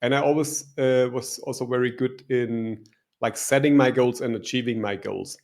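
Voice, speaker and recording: Gravelly German-accented man, reflective. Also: medium pitch, fairly even intonation, moderate pace. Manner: reflective, hesitant, with restarts and "um"s. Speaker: guest, life coach. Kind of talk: interview answers.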